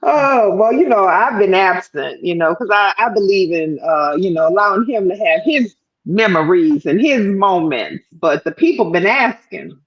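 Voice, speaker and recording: A middle-aged woman co-hosting a live talk stream, animated and playful, laughing often. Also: mid-range voice, moderate pace, highs cut off. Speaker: co-host. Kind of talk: lively live-stream chat.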